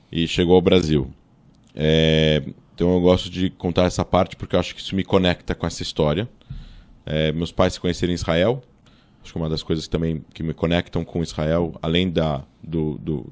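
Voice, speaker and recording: A man in his thirties with a São Paulo Brazilian accent, a guest in a podcast interview, telling his story quickly with many fillers and restarts, speaking into a boom-arm studio mic in a small studio. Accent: Brazilian Portuguese, São Paulo. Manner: fast, animated storytelling with many fillers and self-corrections. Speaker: guest, political scientist. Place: small studio with table and monitor.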